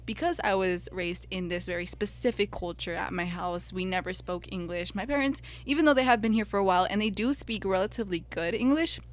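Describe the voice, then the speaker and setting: Young woman, first language Spanish, earnest, reflective, podcast co-host, mid-pitched voice. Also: fairly quick, few pauses. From United States. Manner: earnest, heartfelt storytelling. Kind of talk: personal reflective podcast talk.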